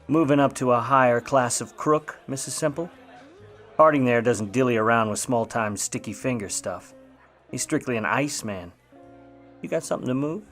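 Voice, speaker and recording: An actor playing a wry, grudging, hard-boiled Hollywood private eye of the 1950s in old radio noir style, full of slangy wisecracks. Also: male voice, mid-range voice, normal speed, a bit bright, soft background music, close to an everyday voice. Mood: sardonic, needling. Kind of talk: old-time radio noir acting with narration.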